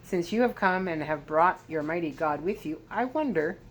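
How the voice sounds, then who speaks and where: A woman's voice: lowish voice, animated, normal speed, natural voice, poor audio. Storybook role: aged sorcerer man, performed by a woman, intense, imploring delivery, slight background noise. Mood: fearful, hopeful. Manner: earnest, pleading, dramatic. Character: frightened old Chaldean sorcerer, desperate, pleading, emotional man.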